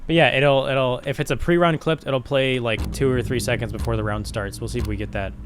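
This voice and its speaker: A young man talks loosely and eagerly as he shows off his game's features, full of slang and fillers. His voice sits at a middle pitch. A faint hiss runs under the recording. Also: brisk. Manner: excited, casual slangy chat with many fillers. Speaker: game developer. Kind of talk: casual screen-recorded walkthrough.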